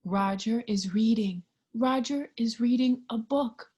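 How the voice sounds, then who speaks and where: A woman reading a picture book aloud to young children in an expressive, child-directed storytime style. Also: fairly high pitch, a little slow, lo-fi sound. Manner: expressive, child-directed, with questions and sound effects.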